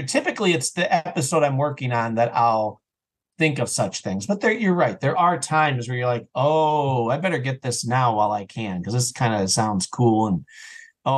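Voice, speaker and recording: A man chatting casually as an interview guest, animated and often voicing his own thoughts as quoted self-talk. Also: medium pitch. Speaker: podcast guest, audio drama creator and voice actor.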